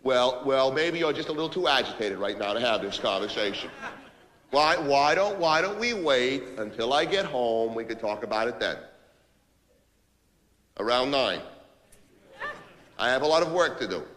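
A man's voice: medium pitch, animated, normal speed, pauses often, fairly bright tone, natural voice. An actor playing an abrasive, self-important New businessman, ranting impatiently in a theatrical comic monologue of phone calls. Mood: strained, placating. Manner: impatient, ranting, barking orders and repeating himself. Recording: reverberant hall.

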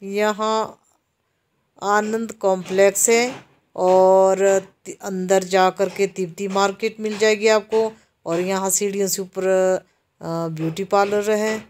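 A woman from Himachal Pradesh narrates a walking tour through a busy town market. She speaks slowly and unhurriedly, her voice staying flat with little rise and fall. She explains the shops to viewers as she films on a handheld camera. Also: mid-range voice, slight background noise. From Himachal Pradesh, India. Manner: slow, even-toned, explaining to viewers. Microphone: handheld camera while walking.

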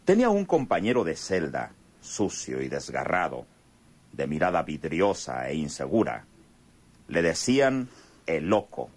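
A man's voice: a bass voice, wildly swooping pitch, unhurried, darkish tone, heavily acted. A male narrator, reading a radio serial as a grave, suspenseful storyteller. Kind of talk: dramatic scripted radionovela narration.

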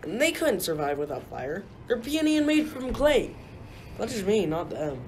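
Woman as a defiant suffering titan, plain talking, radio play with clear background noise. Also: mid-range voice, big pitch swings, normal speed, darkish tone, lightly acted. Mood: protesting. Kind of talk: amateur radio play acting. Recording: noisy amateur recording.

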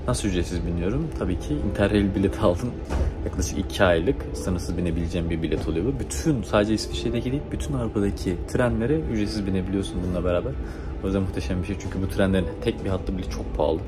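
A young man talks quickly and enthusiastically in a casual, slangy travel vlog. He holds the phone at arm's length on the move, with clear music and some vehicle noise behind him. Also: low voice. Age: young adult. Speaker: travel vlogger. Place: outdoors in villages and inside trains.

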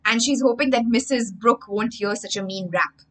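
A young woman, telling a story to children as a livestream English lesson, theatrical and emphatic, pausing to explain words. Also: a little high, a bit bright. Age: young adult. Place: indoor room with bookshelves.

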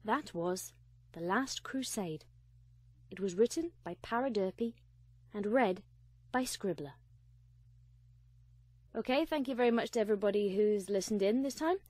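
A woman reading fan fiction aloud as an expressive storyteller. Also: medium pitch, a little slow, pauses often, no room sound. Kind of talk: dramatic scripted narration.

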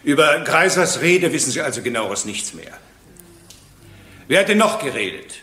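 An actor playing a stern, impatient presiding judge in a radio courtroom drama, formal and probing. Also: male voice, medium pitch, very animated, moderate pace, bright, forceful tone. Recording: echoey courtroom-like room.

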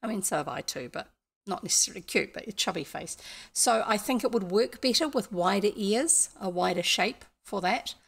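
Older New woman, creaky voice. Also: medium pitch, lively intonation, normal speed. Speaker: livestream host and storyteller. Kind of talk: casual chat to livestream viewers. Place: indoor room, home streaming setup.

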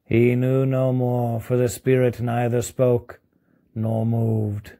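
Slow, mellow Southern man reading aloud. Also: mid-range voice, monotone. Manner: deliberate, measured. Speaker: story reader. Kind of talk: storybook narration, read aloud.

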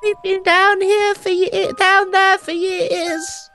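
A woman voicing a plaintive young trapped stranger with drawn-out words in a game roleplay cartoon. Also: extremely high pitch, level tone, sharp tone, faint music behind, full character voice. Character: trapped human stranger, claims to be twenty-six.

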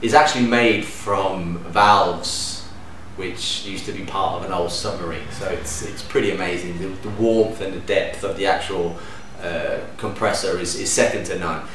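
A man in his thirties from Denmark, a DJ and producer, answering interview questions to camera in a relaxed, enthusiastic way with lively hand gestures. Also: deep voice, normal speed. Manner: relaxed, enthusiastic, gestures with hands while talking. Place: living room at home, indoors. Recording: echoey room, distant mic, faint hum.

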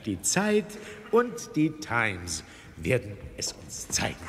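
A smug political boss delivering a menacing speech in a radio play. He declaims slowly with big swings of pitch and weighty pauses, his words ringing through a noisy hall. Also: wildly swooping pitch, heavily acted. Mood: smug, veiled threat. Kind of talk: German radio drama, public speech. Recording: long hall reverb with noisy ambience.

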